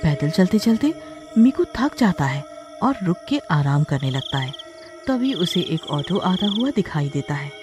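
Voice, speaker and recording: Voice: medium pitch, sing-song, unhurried. Narrator telling a scary cartoon story, scripted read, music going behind. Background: background music.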